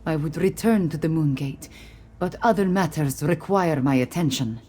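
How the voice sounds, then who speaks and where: A woman voicing a weary, regal cat-folk warrior queen in a video game, speaking formally and earnestly in measured phrases. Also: sits low, mellow, breathy edge. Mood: earnest, solemn. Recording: game music behind the voice.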